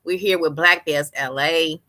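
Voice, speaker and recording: A woman's voice: deep voice, fairly even intonation, measured pace, sharp tone. An American woman hosting a live online interview in a casual, slangy, encouraging way. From Los Angeles, United States. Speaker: host of an online business community show.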